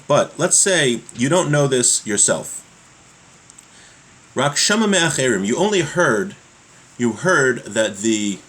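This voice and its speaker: A man giving a religious law lesson, reading from a text and explaining it in a halting way, repeating his points. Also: mid-range voice, fairly bright tone, breathy edge. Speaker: religious teacher.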